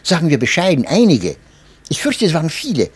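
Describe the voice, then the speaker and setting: A man reading a memoir aloud as narrator, in an expressive and measured way. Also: a little high, husky. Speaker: audiobook narrator.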